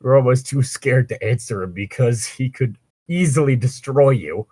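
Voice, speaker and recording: A man's voice: medium pitch, moderate pace, mellow, breathy edge, no echo. A man chatting casually and reading aloud as co-host, breaking off for sardonic, sweary asides. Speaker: co-host of a fan fiction reading show. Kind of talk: casual banter and read-aloud with commentary.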